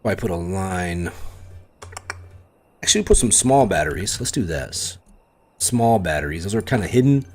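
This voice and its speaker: A man's voice: deep voice, animated, normal speed, pauses often, a little mellow. A middle-aged American man streaming a game, chatting casually and self-deprecatingly with his viewers while thinking aloud as he builds. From United States.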